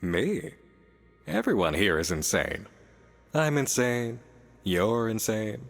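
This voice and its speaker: An actor playing a sly, playful demon in a visual novel, teasing, cryptic and theatrical. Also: male voice, lowish voice, big pitch swings, slow pace, vocal fry, heavily acted. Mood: mocking, playful. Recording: long reverb, music behind.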